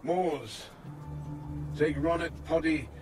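An actor playing a brisk, authoritative commanding officer in a video game. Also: male voice, fairly high pitch, recorded from a distance, music in the background. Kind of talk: video game cutscene acting.

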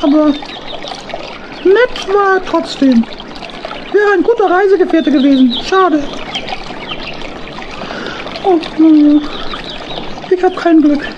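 A small, earnest hedgehog wanderer in a children's audio play. The voice is extremely high, naive and plain-spoken, with clear background noise from an old record. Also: female voice, takes it slowly, long pauses, muffled recording. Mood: pensive. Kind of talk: German children's audio drama acting.

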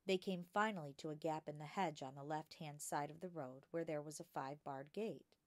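A woman reading a children's book aloud as a storytime narrator, expressive and clearly enunciated. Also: sits low, fairly quick, dry recording. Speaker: storytime reader.

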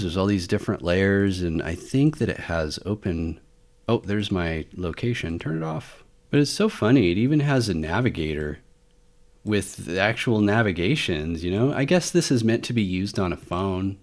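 A man with a low-pitched voice narrates a screen recording as the host of a tech hobby channel. He talks in an informal, rambling way, thinking out loud as he goes. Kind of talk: casual screen-recording walkthrough. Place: indoor voice-over for a screen recording.

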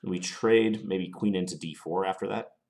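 A man with a very low voice and a slight cold talks through his chess moves at his computer. He sounds calm and analytical, weighing each option in a measured way. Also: very noisy. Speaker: chess commentator playing online. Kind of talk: thinking aloud during a chess game.

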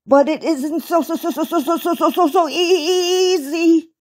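A woman voicing a good-natured old gentleman rabbit in a children's storybook, easily flustered and prone to stammering. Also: extremely high pitch, moderate pace, voice pushed up. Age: older. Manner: stammers and repeats words when flustered.

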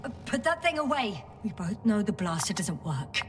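A woman's voice: mid-range voice, big pitch swings, normal speed, far from the mic, over music, close to an everyday voice. An actress playing a weary, resourceful female space scientist in a video game, speaking naturally and sometimes muttering to herself. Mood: exasperated, placating.

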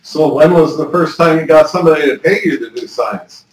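A man's voice: on the high side, brisk, a little hiss or hum. A middle-aged man interviewing in an office meeting room, prompting with friendly questions. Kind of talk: oral history interview. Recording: roomy, distant office recording.

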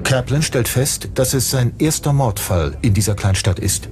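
A man's voice: mid-range voice, expressive, moderate pace, background noise, natural voice. A man reading scripted true-crime documentary narration as the narrator. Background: background music.

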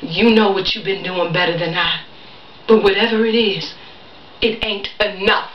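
A woman's voice: medium pitch, steady tone, moderate pace, a little hiss or hum, voice pushed down, close to an everyday voice. Echoey, distant exasperated young mother acted by a woman. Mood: bitter. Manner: emphatic, repetitive, pleading and indignant. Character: exasperated young mother confronting her partner over money. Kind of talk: theatrical audition monologue. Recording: echoey room, distant mic, muffled.

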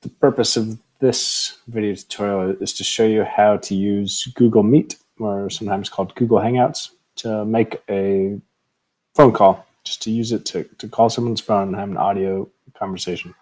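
A middle-aged man giving a casual screen tutorial in a halting, informal way, on an earbud microphone at home. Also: on the low side, fairly even intonation, a little mellow, poor audio. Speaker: tutorial presenter, educator. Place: room at home, indoors. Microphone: earbud headset microphone.

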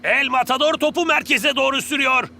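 A man's voice: very high voice, steady tone, fairly quick, gravelly, sharp tone, a little hiss or hum, exaggerated voice. A man voicing a vain, flamboyant football star in a cartoon, boastful, theatrical and self-adoring. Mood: boastful, showy. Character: vain, flamboyant male football star promoting his own toy. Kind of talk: animated cartoon dub acting. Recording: music behind the voice.

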